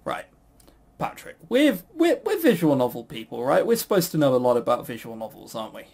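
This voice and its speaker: A man's voice: medium pitch, wildly swooping pitch, brisk, creaky, darkish tone, husky. A man co-hosting a podcast in casual banter, rambling and jokey, making fun of himself and swearing casually. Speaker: podcast co-host and quizmaster.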